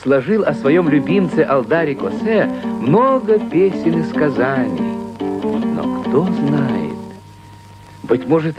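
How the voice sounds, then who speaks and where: A man voicing a proud, kindly storyteller of legend in a cartoon, measured, with dramatic pauses. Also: fairly high pitch, lo-fi sound. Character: proud, kindly legendary trickster telling his tale. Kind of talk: scripted cartoon narration. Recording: loud plucked-string music under the voice.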